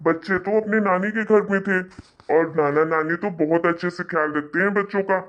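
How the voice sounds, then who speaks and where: A man's voice: sits high, fairly quick, fairly bright tone. A man acts as a husband and father in a cartoon family drama. He protests earnestly and defensively to his elders, and the sound is slightly dull and lo-fi. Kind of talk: animated family melodrama acting.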